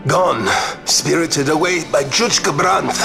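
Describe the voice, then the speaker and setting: An actor playing a suave nobleman in a video game, speaking in an eloquent, formal and measured way. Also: on the high side, gravelly, sharp tone, soft background music, heavily acted. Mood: earnest, courtly. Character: suave, courtly male nobleman, earnest and wry. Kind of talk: video game cutscene acting.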